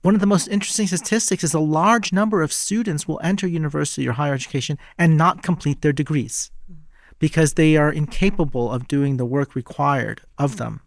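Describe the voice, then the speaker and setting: A man from Egypt, raised in the United States, speaking on a debate panel in a measured, explanatory way. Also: fairly high pitch, gravelly, no echo, studio quality. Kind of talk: panel discussion.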